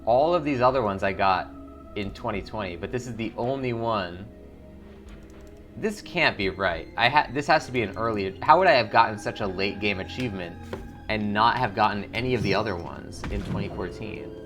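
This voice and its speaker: A man with a fairly low voice hosts a gaming video. He talks casually and informally, thinking aloud as he plays, with music in the background. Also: animated, normal speed, fairly bright tone. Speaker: gaming channel host. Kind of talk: casual game commentary.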